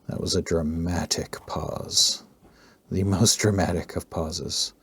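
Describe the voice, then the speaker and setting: Male art tutorial host, low-pitched, slightly hoarse, relaxed rambling delivery. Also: slow. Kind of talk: casual narrated drawing tutorial.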